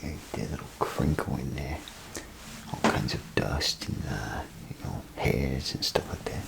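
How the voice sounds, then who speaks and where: A man doing soft-spoken, partly whispered ASMR role play as an easygoing bathroom attendant, murmuring unhurried, hesitant small talk over background noise. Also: extremely low pitch, creaky.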